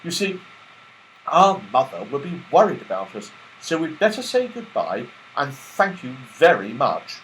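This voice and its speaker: A man's voice: mid-range voice, sing-song, measured pace, fairly bright tone, slight background noise, low-quality recording. A man voicing a polite, hesitant young boy in a storybook, stammering apologetically.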